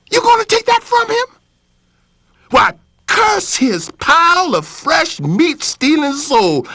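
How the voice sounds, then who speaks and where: A man's voice: very high-pitched, bright, forceful tone, dry recording, studio quality, voice pushed up, full character voice. A man voicing a sly, boastful male trickster rabbit who talks in a wheedling, folksy way. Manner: wheedling, folksy, quick-witted.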